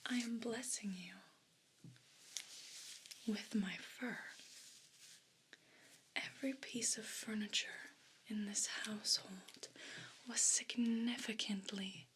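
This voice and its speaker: A woman voicing a vain, pompous evil house cat in a breathy whisper for an ASMR role play. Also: medium pitch, steady tone, fairly bright tone, slight background noise, rough recording. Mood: smug, condescending. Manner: pompous, deliberate, formal wording.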